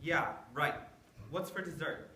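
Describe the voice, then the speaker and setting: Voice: medium pitch, measured pace, sharp tone. A performer acting a sullen, sarcastic, whiny schoolboy in a staged script reading. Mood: sulky. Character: sulky, sarcastic phone-addicted boy. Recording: echoey, noisy room recording.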